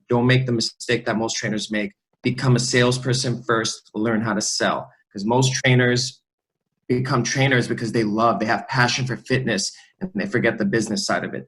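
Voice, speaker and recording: A man's voice: medium pitch, fairly bright tone, rough recording. Easygoing man telling his story. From Lebanon, raised in the US. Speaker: guest, fitness trainer. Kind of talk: casual interview. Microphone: remote recording.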